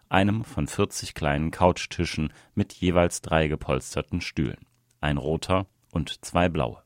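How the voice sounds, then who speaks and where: A man's voice: sits low, no echo, clean studio sound. A man reading a written reportage aloud as scripted narration. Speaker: reader of an audio article.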